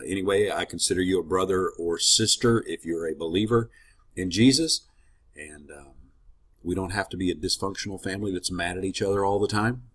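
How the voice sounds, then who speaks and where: A man's voice: sits low, breathy edge. A man leading a casual Bible teaching, slightly congested and sniffling, in a friendly, conversational way with rambling asides. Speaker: ministry teacher.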